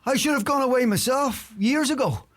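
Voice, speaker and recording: An actor playing a scrawny, idle old uncle who grumbles and boasts, with a Donegal Irish accent, in a radio play. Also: male voice, very high-pitched, moderate pace. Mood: boastful. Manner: grumbling, droll.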